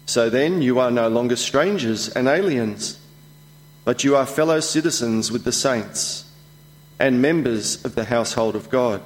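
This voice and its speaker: A man reading a Bible passage aloud in a slow, measured way during a church service. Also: medium pitch. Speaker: scripture reader. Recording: long echo of a church hall.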